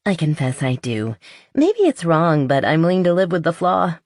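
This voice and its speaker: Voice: mid-range voice, big pitch swings, moderate pace, a little mellow, dry recording, clean studio sound, pitched above the performer's own voice. A narrator voicing a smug, competitive but good-humored woman who teases in a romance audiobook. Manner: smug teasing.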